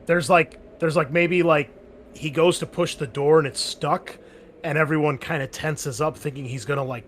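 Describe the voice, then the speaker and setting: A man's voice: medium pitch, noisy background. Chatty man running a tabletop game. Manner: loose and chatty, full of "like" and "um" fillers. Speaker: game master.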